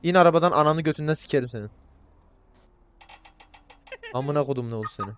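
Fast-talking, foul-mouthed man trolling in a game. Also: on the high side, muffled recording, background noise. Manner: crude, swearing heavily, provocative, switching to mock politeness. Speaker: video creator playing an online roleplay game. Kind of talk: casual gaming banter, trolling other players.

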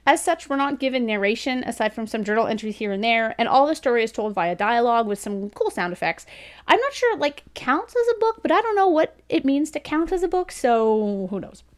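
A woman's voice: on the high side, big pitch swings, brisk. A woman in her thirties giving a chatty, casual book review from a room at home, laughing often between thoughts. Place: home room with bookshelves, indoors. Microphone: studio mic beside her on desk.

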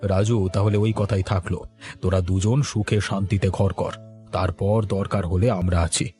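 Casting: man as forgiving old cartoon father, sad and resigned, low mellow voice, faint music behind. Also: little pitch movement, moderate pace, close to an everyday voice. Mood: resigned, caring. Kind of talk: Bengali cartoon voice acting.

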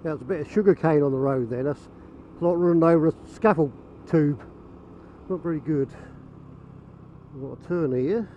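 A man's voice: a little high, normal speed. Man narrating from a motorbike. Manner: chatty, dry, self-mocking asides. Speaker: motovlogger. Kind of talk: casual running commentary while riding. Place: on a motorbike on open roads. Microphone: helmet camera mic. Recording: muffled helmet-cam audio with road noise.

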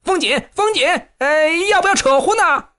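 A man voicing a rough, boastful bandit with an excitable manner in a storytelling reading. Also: extremely high pitch, normal speed, pitched above the performer's own voice, exaggerated voice. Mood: panicky. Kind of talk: storytelling audiobook.

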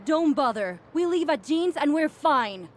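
A woman's voice: high-pitched, expressive, a little slow. A curt stern mother. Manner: curt, firm. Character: stern mother, firm and cold.

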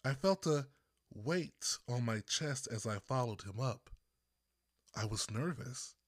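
Slow, measured male storyteller, dry close sound. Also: medium pitch, expressive, no room sound. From Texas, United States. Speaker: author reading own audiobook. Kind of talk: scripted first-person narration.

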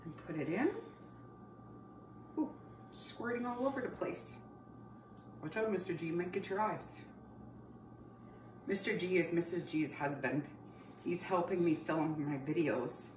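A woman's voice: lowish voice, measured pace, pauses often. Woman teaching kids a cooking class. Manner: friendly, explains step by step while preparing food, calls viewers friends. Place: home kitchen. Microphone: camera across the counter, no visible mic. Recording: roomy, distant camera audio with background noise.

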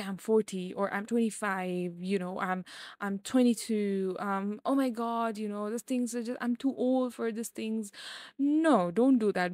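A woman's voice: fairly high pitch, no echo, clean studio sound. A woman in her twenties hosting a casual solo podcast, rambling with lots of fillers and growing livelier as she talks. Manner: rambling, filler-heavy, gets brighter and louder as she goes. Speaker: podcast host.

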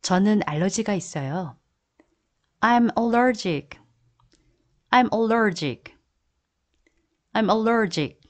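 A woman teaching English vocabulary as a voice-over, saying each word and repeating it clearly in steady word drills. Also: mid-range voice, fairly quick, pauses often. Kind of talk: slow, deliberate word drills.

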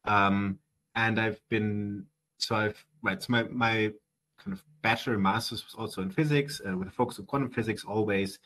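A man's voice: fairly low pitch, steady tone, normal speed, pushed and bright, poor audio. A young man in his twenties with a German accent, a guest chatting on a video call and hesitating with many fillers. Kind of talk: casual interview answers. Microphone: headset on video call.

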